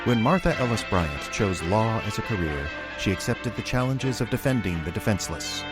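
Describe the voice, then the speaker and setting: Low, lively male radio drama announcer. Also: normal speed, over loud music. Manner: theatrical, formal. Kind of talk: scripted old-time radio announcing.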